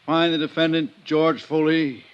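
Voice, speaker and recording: A man playing a nervous, halting jury foreman in a 1950s radio drama. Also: a little high, level tone, clear, resonant tone, highs cut off, lightly acted. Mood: solemn, halting. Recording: noisy vintage radio recording.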